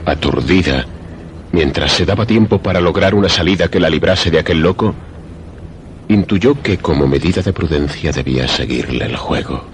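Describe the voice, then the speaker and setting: A man narrating a scripted suspense radio drama in a measured, formal, literary manner. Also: sits low, lively intonation, a little hiss or hum.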